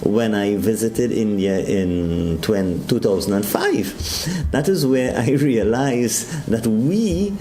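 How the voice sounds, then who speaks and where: A Trinidadian man gives a devotional talk on a morning broadcast, preaching to his listeners. His voice sits low and mellow, with sudden high swoops in pitch, and the sound rings in an echoey room. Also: lively intonation, takes it slowly, slight background noise. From Trinidad and Tobago.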